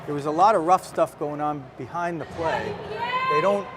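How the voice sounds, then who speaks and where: A man's voice: fairly high pitch, moderate pace. A man doing live play-by-play commentary on ice hockey in clipped running calls of the action. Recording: loud crowd and rink noise. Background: crowd and rink noise.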